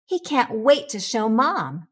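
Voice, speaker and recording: A woman reading a children's picture book aloud in an expressive, deliberate storytelling manner for young listeners. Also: a little high, husky.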